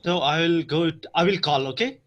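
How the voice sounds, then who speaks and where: Higher-voiced man moderating an online meeting. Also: fairly quick, a bit bright, dull audio, slight background noise. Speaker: meeting facilitator calling on participants. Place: online video call.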